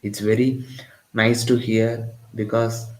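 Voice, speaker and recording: A man's voice: medium pitch, flat delivery, slow, darkish tone, echoey room, poor audio. A man from Tamil Nadu with an Indian English accent, teaching a live physics lesson and often repeating lines and asking "got it?". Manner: repetitive, keeps checking in with "got it?". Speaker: physics tutor. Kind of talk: live-streamed exam-prep lesson.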